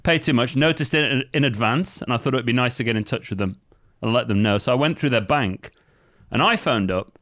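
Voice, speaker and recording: Male co-host, relaxed explainer, mid-pitched voice, dry studio sound. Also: a little fast, hardly any pauses, no echo. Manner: easygoing, explanatory, many fillers. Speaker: podcast co-host. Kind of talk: casual chat.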